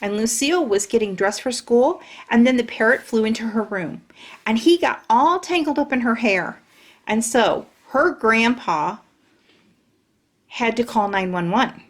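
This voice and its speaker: A woman's voice: fairly high pitch, lively intonation, unhurried. A woman voicing a brash, chatty kindergarten girl who tells her own story in childish grammar. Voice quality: childlike, bossy, ungrammatical storytelling. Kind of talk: audiobook narration.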